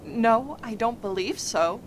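Woman playing an impatient, highish-voiced young lady. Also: takes it slowly, a little hiss or hum, low-quality recording. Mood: indignant. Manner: urgent, exasperated. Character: impatient, worried young woman, sceptical and insistent. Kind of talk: radio drama acting.

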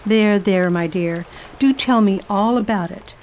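A woman playing a self-assured, kindly lady traveller in radio theatre, speaking in a formal, deliberate and imperious way. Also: medium pitch, a little mellow, a little hiss or hum, close to an everyday voice. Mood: brisk, kindly. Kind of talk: radio theatre acting and diary narration.

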